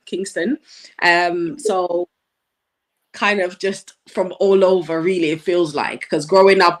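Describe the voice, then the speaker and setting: Laughing woman guest, low-pitched. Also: fairly bright tone. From Nigeria. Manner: laughs often, thoughtful, some ums. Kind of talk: casual interview chat.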